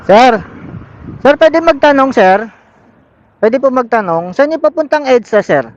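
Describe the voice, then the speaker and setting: A man's voice: extremely high pitch, expressive, unhurried, highs cut off, a little hiss or hum. A man with a Filipino accent chatting casually as a motorcycle vlogger, in informal, upbeat street talk mixed with English. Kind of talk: casual vlog chat to viewers while riding. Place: outdoors on a motorcycle in city streets.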